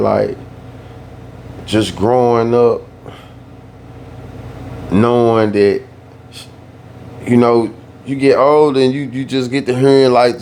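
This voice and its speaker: A young man from the Southern US telling a family story in a laid-back, slangy way that turns animated when he gets worked up. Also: mid-range voice, slight background noise. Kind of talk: casual storytime.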